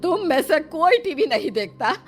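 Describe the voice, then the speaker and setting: An exasperated older mother-in-law from a cartoon moral story, played by a woman. She scolds and commands in a high, very animated voice over faint music. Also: normal speed. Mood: scolding.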